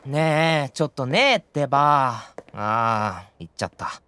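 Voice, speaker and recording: A man's voice: medium pitch, lively intonation, unhurried, sharp tone, clean tone. A man playing a nonchalant, teasing young demon brother in an anime-style audio drama, offhand and bluntly matter-of-fact. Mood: puzzled.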